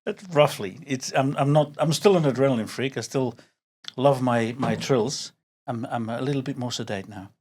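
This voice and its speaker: Belgian-accented older man, chatty and animated. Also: medium pitch, moderate pace. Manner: chatty, repeats words as he searches, laughs easily. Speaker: podcast guest. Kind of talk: casual interview chat.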